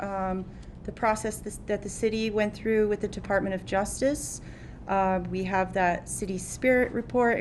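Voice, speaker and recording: A woman city council candidate answers at a forum in an even, flat-toned way, measured and steady, with a few fillers. Her voice sits mid-range, and a faint rumble runs underneath.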